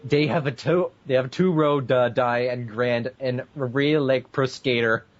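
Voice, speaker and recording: A man's voice: mid-range voice, unhurried, lo-fi sound. A man hosting an amateur podcast in a casual, bantering way. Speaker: podcast host.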